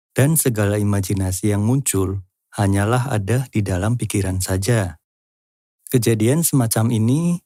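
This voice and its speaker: A man's voice: fairly low pitch, warm tone, no echo, clean studio sound. A man hosting an explainer, reading scripted narration in an informal, lightly joking way with casual asides. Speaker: host of a lyric-analysis channel.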